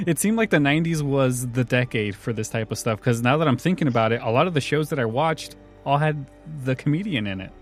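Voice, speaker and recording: Guy hosting a podcast, normal pitch, casual talk, bit of music under him. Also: lively intonation, brisk. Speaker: podcast host.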